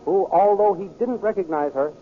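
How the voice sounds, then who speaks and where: A man's voice: high-pitched, normal speed. A man announcing and narrating a 1930s radio serial in a dramatic, declaiming manner. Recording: tinny old radio transcription.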